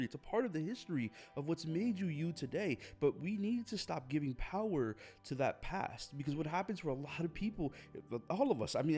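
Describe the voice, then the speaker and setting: A man's voice: a little high, mellow. A young man hosting his own podcast, talking earnestly and motivationally, often repeating and restarting his phrases. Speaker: podcast host. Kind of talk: motivational monologue.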